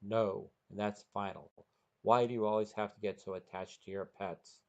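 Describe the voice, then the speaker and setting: A man's voice: fairly low pitch, fairly even intonation, moderate pace, gravelly, darkish tone, highs cut off, pitched below the performer's own voice. A man voicing a firm, impatient man in a story, stern and curt. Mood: exasperated.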